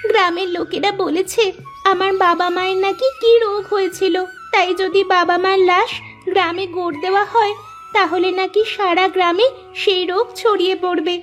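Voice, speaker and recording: A woman's voice: very high-pitched, fairly even intonation, normal speed, a bit bright. A woman voicing a poor, earnest orphaned village girl in a moral-story cartoon. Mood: sorrowful. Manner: exclaiming, talks to herself aloud. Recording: music behind the voice.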